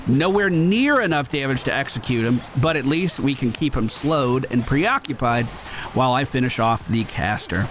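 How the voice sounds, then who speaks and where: A man's voice: mid-range voice, animated, moderate pace, fairly bright tone, slight background noise. A male gaming streamer giving casual live commentary, chatting and thinking aloud as he plays. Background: game music.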